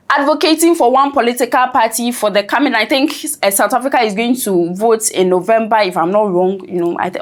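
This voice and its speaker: A woman commenting on a news report in a conversational way, often checking in with "right?". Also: on the high side.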